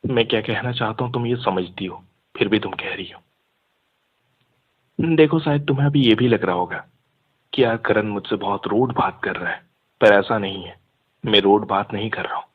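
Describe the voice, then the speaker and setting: A man's voice: on the low side, moderate pace, long pauses, breathy edge. A young man in a casual phone conversation, speaking insistently and argumentatively, mixing in English words. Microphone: phone line. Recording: muffled phone call audio.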